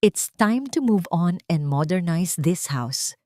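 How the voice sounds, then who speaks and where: Woman voicing an arrogant, domineering Filipino husband, low-pitched. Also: lively intonation, slow, warm tone, no room sound. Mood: dismissive. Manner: dismissive, commanding. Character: arrogant, cheating husband, cold and domineering man.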